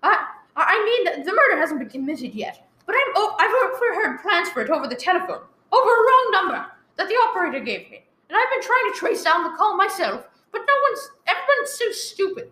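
Frantic bossy invalid wife in a radio play, super high sharp voice, echoey room. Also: female voice, big pitch swings, a little fast, hardly any pauses, exaggerated voice. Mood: agitated. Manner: flustered, stammering, imperious. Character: anxious, demanding bedridden wife, easily exasperated. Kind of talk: old radio thriller acting. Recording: roomy echo, live one-take recording.

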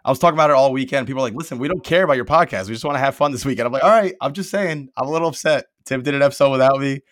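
A man's voice: medium pitch, very fast. A man in his thirties, a podcast co-host who jokes and laughs often in casual chat. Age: 30s.

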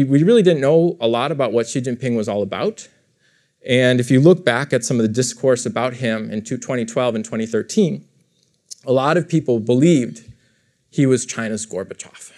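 A man's voice: medium pitch, darkish tone. A male professor giving an explanatory lecture to a live audience in a room, talking it through with fillers and self-corrections. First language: English.

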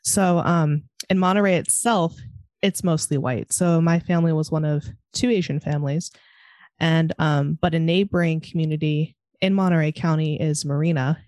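A woman's voice: low-pitched, steady tone, normal speed, a little mellow, no echo. A woman from California with a Californian American accent, chatting casually in a hesitant, meandering way peppered with "like". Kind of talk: casual panel conversation.

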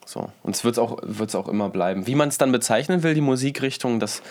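A man in his thirties from Berlin, a musician and producer chatting casually in an interview, relaxed and slangy. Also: mid-range voice, fairly quick. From Berlin, Germany.

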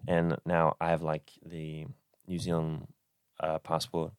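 A young man speaks as a podcast guest, easygoing and unhurried in a casual chat. His voice sits very low, and the recording is dry and close, with no room sound. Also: monotone, clean tone, no room sound. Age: young adult.

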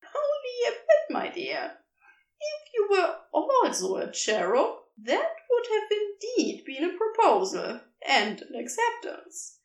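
A woman's voice: very high voice, huge pitch swings, slow pace, reverberant, far from the mic, pitched above the performer's own voice, exaggerated voice, poor audio. A woman voicing a smug, playful dragon-like cherub who teases in a gleeful, theatrical, lilting way. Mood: gleeful teasing.

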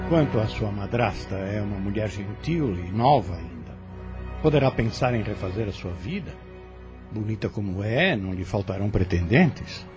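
An actor playing a courteous, gently flirting farm overseer in a radio soap, speaking in a formal, measured way. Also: male voice, fairly low pitch, animated, over music, natural voice. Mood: gently flattering. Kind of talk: radio soap opera acting.

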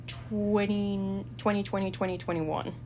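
A woman's voice: medium pitch, fairly even intonation, measured pace, dark tone, slight background noise. A young woman from El Salvador, a university student, chatting casually with lots of fillers and easy laughter. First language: Spanish. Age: young adult. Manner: chatty, hesitant with many fillers, laughs easily. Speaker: student guest. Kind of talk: casual chat.

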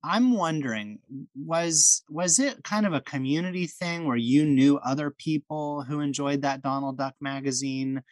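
A man's voice: on the high side, moderate pace, no room sound. Dry-sounding male podcast host. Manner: friendly, enthusiastic, with frequent restarts. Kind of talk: casual podcast chat.

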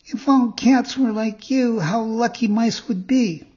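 A man voicing a naive, eager young wooden puppet boy in a storybook reading, earnest and excitable. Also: very high-pitched, unhurried, lo-fi sound, voice pushed up. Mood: trusting, naive.

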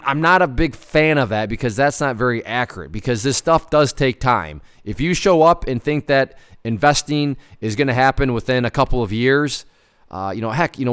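A man's voice: mid-range voice, clean tone. A man in his thirties giving informal, conversational commentary as a host, stressing points emphatically and repeating words. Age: 30s. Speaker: host commenting on personal finance. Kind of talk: informal commentary on an article, partly read aloud.

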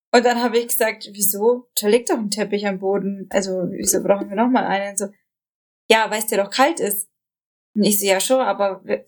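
A young woman chatting casually as a podcast co-host, giggly and fond of telling anecdotes. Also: fairly high pitch. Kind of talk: casual chat.

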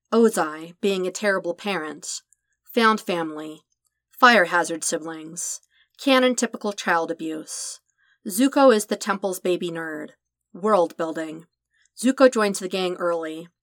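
Woman, lowish voice, calm measured story reader, dry close sound. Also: no room sound. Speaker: podfic reader. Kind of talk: scripted narration of fan fiction.

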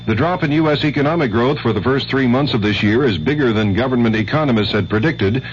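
A man's voice: mid-range voice, fast talker. An American man anchoring network radio news, reading formally from a script. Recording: dull noisy 1970s AM radio recording.

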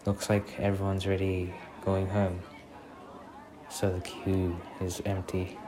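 Man playing a very low-voiced, tender young boyfriend. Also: completely flat delivery, takes it slowly, a crowd in the background. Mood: tender, wistful. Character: protective, tender, popular young boyfriend on a date. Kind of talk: ASMR boyfriend roleplay. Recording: clearly noisy recording with ambient sound.

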